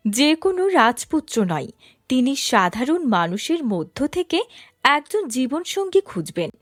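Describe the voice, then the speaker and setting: A woman's voice: sits high, dry recording, studio quality. A woman narrating a scripted fairy tale as a storyteller.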